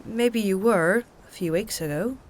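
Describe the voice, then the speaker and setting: An actress playing a practical, stubborn travelling healer in a fantasy audio drama, with a dry, matter-of-fact, conversational way of talking. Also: female voice, medium pitch, measured pace, slight background noise, close to an everyday voice. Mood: wry, reassuring. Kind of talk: dark fantasy audio drama dialogue and narration.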